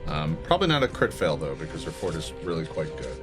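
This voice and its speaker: A man's voice: low-pitched, very animated, fast talker, vocal fry, music in the background. A man improvising narration and rulings as game master of a tabletop game.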